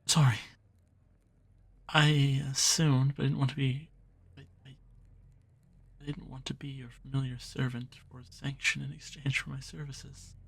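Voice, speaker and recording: A man's voice: medium pitch, little pitch movement, normal speed, gravelly, pauses often, fairly bright tone, husky. A man playing a timid, apologetic small creature in an audio drama, speaking in a hesitant stammer. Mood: nervous, pleading.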